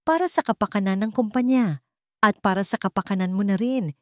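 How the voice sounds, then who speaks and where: A woman voicing a scheming older businessman father in an audio story, formal and measured, with a Filipino accent. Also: mid-range voice, no room sound, clean studio sound, voice pushed up, natural voice. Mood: calm, calculating.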